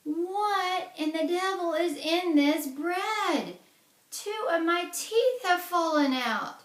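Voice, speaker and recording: A woman's voice: mid-range voice, lively intonation, measured pace, reverberant, recorded from a distance, close to an everyday voice. A woman voicing a boastful, gluttonous giant man in a folk tale, with a blustering delivery. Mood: shocked.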